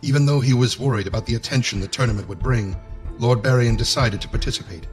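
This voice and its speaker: A man's voice: mid-range voice, fast talker, soft background music, natural voice. A man narrating a medieval fantasy game as a solemn storyteller, in scripted style.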